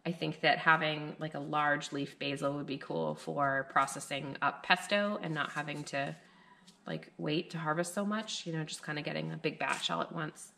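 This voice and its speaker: A woman in her thirties with a low voice talks casually to a camera in her living room at night. She rambles along loosely and fills her pauses with "like" and "um" as she chats about her garden. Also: steady tone, creaky, pushed and bright. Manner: rambling and chatty, with many fillers. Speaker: homestead gardening vlogger.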